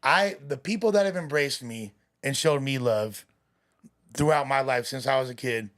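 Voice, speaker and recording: A man's voice: mid-range voice, moderate pace, a bit bright. A man from West Los Angeles talking casually as a podcast guest, easygoing and full of street slang. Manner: streetwise slang, laid-back, says "you feel me" a lot. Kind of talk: casual chat.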